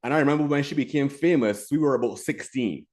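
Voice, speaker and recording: A man's voice: medium pitch, fast, darkish tone. A man in his thirties from Barbados, giving a friendly, carefully paced online keynote presentation. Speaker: keynote speaker.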